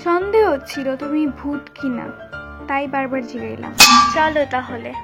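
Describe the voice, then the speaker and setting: A woman voicing a cheeky high-pitched young girl. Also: moderate pace, vocal fry, over loud music. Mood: teasing. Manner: teasing, cheeky. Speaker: cartoon narrator and lead voice.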